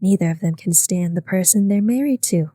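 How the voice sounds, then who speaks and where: A woman's voice: on the low side, brisk, dark tone, dry recording, natural voice. A woman reading aloud, voicing a chatty, gossiping young woman with a raised, breathless voice. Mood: confiding.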